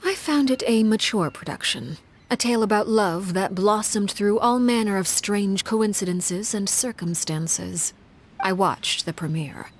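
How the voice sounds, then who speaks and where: A woman's voice: medium pitch, a little hiss or hum, natural voice. A woman voicing a poised, calculating leader. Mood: composed. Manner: formal, measured, precise diction. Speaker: video game character. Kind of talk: scripted game voice lines.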